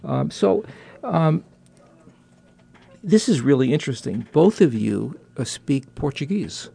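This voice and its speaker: A man hosting a casual interview show, halting often with fillers and restarts. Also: a little high, big pitch swings, gravelly, mellow, breathy edge. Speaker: talk show host.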